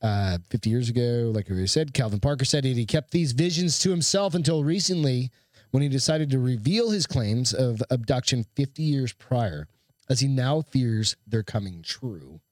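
A man's voice: medium pitch, animated, normal speed, dry recording. A man co-hosting a podcast, reading out odd news stories in casual chat and cursing as he jokes. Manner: profane, joking, sarcastic. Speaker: podcast co-host.

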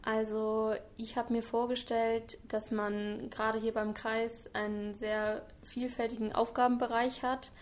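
A woman of twenty-one, a trainee, answering interview questions earnestly and at length, with some fillers. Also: a little high. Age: 20s. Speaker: trainee in public administration.

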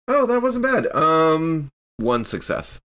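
A man's voice: fairly high pitch, sing-song, very slow, noisy background. A man chatting casually as a player in a tabletop role-playing game.

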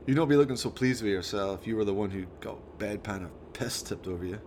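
A man's voice: on the low side, fast talker, a little mellow, slight background noise, lightly acted. A man playing an exasperated, sweary, scruffy bloke in a radio play, chiding in colloquial speech. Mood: wry, chiding. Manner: colloquial, chiding, peppered with "aye" and "eh".

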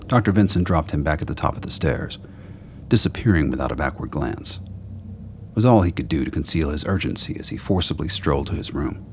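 A man's voice: a bass voice, lively intonation, brisk, slight background noise. A man narrating a scripted radio play as storyteller.

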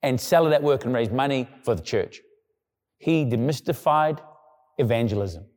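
A male pastor preaching a sermon in a conversational way, now and then acting out quoted people in a lower, quieter voice. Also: lively intonation, normal speed, big-room sound.

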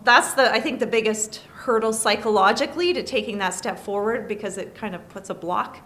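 A woman speaks as a lawyer guest, seated at a studio table. She answers in a measured way and works through her points as lists. Her voice sits in an ordinary mid range. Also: mid-range voice, a bit bright. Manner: measured, explains by listing points. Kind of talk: interview answers.

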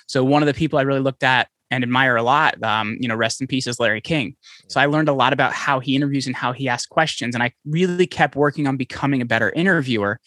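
A man talking fast and eagerly as a podcast guest, piling up quick restarts as he tells his story. His voice is bright and forward. The sound is dry and close, with no room around it. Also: mid-range voice, no room sound. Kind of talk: conversational interview. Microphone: podcast microphone, remote call.

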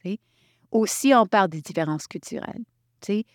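A woman from Quebec leading a workshop, talking in an animated way and restarting her sentences often. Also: mid-range voice, brisk, dry recording, clean studio sound. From Quebec, Canada. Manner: animated, thinks aloud with many restarts. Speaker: workshop facilitator. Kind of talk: workshop talk.

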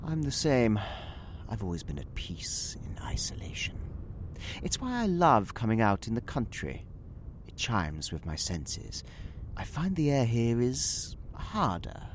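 A man's voice: medium pitch, huge pitch swings, unhurried, slight background noise. A British man playing a genteel, talkative Victorian magazine writer in an audio drama, rambling and theatrical in manner. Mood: whimsical, teasing. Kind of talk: audio drama acting in period English.

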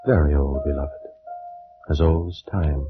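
A man's voice: a bass voice, fairly even intonation, a little slow. A man acting an earnest, lovestruck ancient Egyptian sorcerer in a formal, theatrical old radio drama style. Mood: urgent, persuasive. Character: ardent, solemn male ancient Egyptian sorcerer in love. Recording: tinny old radio recording with music.

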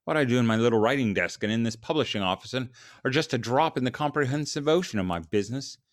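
A man's voice: medium pitch, lively intonation, fast, dry recording, clean studio sound, voice pushed up, close to an everyday voice. A man voicing a brooding, guilt-ridden Victorian writer in an impassioned, lamenting way for a story reading. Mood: grieved, impassioned.

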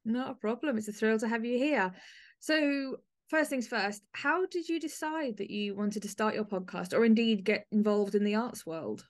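A woman's voice: fairly high pitch, normal speed. A woman hosting a podcast in a conversational way, chatty and effusive with her guest. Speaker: podcast host, interviewer.

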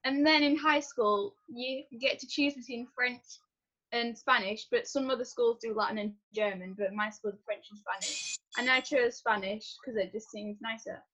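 A woman's voice: fairly high pitch, steady tone, normal speed, a bit bright. A teenage girl chatting casually as a guest, candid and full of fillers like "like". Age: teens. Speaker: young guest. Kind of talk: casual chat. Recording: dull lo-fi sound.

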